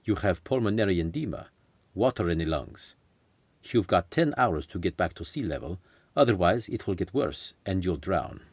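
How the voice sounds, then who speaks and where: A man voicing a calm, formal male doctor in his thirties who speaks in clipped, reassuring sentences. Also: deep voice, moderate pace, no echo.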